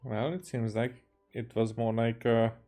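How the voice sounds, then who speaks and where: Casting: man from Hungary, game streamer, mid-range voice, offhand short remarks over soft game music. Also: medium pitch, little pitch movement, measured pace. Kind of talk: casual commentary over gameplay.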